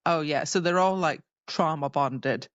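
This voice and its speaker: Low-voiced woman chatting, dull dry recording. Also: measured pace, no echo. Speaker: podcast co-host. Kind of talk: casual book discussion chat. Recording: dull, slightly lo-fi microphone sound.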